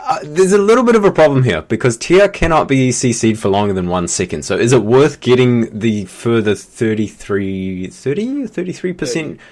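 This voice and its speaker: A man's voice: medium pitch, sing-song, moderate pace, few pauses, darkish tone. A man with a New Zealand accent doing live esports commentary with casual banter.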